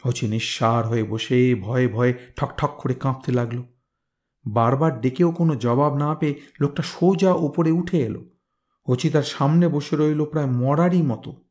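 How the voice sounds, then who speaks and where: A man reading a folktale as scripted narration, in a measured, expressive, dramatic manner. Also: medium pitch. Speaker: storyteller, reader.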